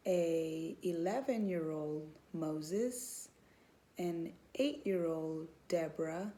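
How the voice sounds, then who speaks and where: A woman tells her own faith story in a personal testimony. She speaks earnestly, pausing often with ums as she gathers her thoughts. Her voice is warm and mellow and sits slightly low. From Houston, Texas. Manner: earnest, hesitant with many ums, faith-filled. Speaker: student and mother.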